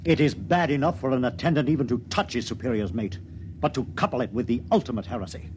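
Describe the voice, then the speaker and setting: An actor playing a menacing, jealous superior officer who speaks harshly and threateningly in a 1950s radio drama. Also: male voice, medium pitch, quick pace, lightly acted. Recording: faint hiss of an old radio broadcast.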